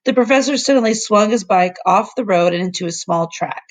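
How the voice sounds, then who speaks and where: A woman teacher reading a children's story aloud in an expressive way, stumbling now and then and commenting aside to her listeners. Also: medium pitch, a little fast.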